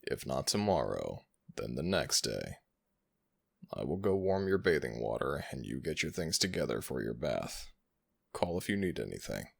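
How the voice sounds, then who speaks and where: A man voicing an ancient male jackal god who is proud and teasing, in a husky voice with slow, formal speech, for an ASMR audio roleplay. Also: extremely low pitch, very animated, exaggerated voice. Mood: teasing, fond. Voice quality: gravelly, husky. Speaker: voice actor.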